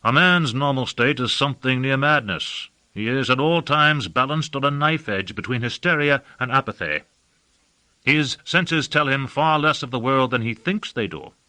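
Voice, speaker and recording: A man voicing a haggard, learned conjurer in an audiobook, lecturing in a measured, didactic manner. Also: medium pitch, animated, pushed and bright, no echo, voice pushed up. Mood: grave, explaining. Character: haggard, learned male demon-conjurer lecturing his lord.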